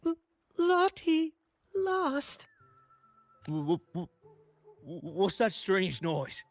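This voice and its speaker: A woman's voice: medium pitch, huge pitch swings, very slow, dry recording, soft background music, full character voice. A woman voicing a timid little pirate creature in a video game, stammering nervously over words. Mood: jittery, anxious. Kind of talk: video game character acting.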